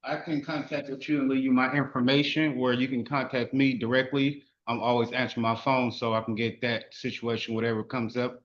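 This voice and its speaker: A man speaking for a shelter site reassures a neighbor in plain, matter-of-fact terms. His voice stays flat and even, and the recording is a little dull. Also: medium pitch, brisk, hardly any pauses. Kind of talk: meeting answer.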